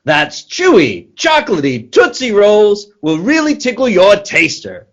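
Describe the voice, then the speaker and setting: A man's voice: sits high, wildly swooping pitch, measured pace, lo-fi sound, heavily acted. An actor playing an eager, folksy radio sponsor announcer in 1940s style, with a bouncy, theatrical salesman's delivery. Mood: eager, selling. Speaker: announcer and circus owner actor.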